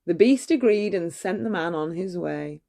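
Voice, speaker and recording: A woman reading a bedtime story aloud in an expressive, measured way. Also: lowish voice.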